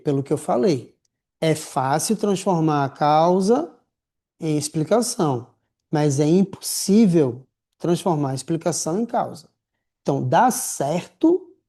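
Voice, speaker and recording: A man teaching a writing class in a lively, slangy, chatty way, calling his students affectionate names. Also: on the high side. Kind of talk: casual recorded lecture.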